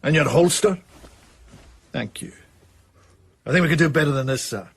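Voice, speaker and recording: Blunt, raspy armourer. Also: male voice, fairly high pitch, moderate pace. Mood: matter-of-fact. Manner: terse, matter-of-fact. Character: blunt, deferential male military armourer. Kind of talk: radio drama acting.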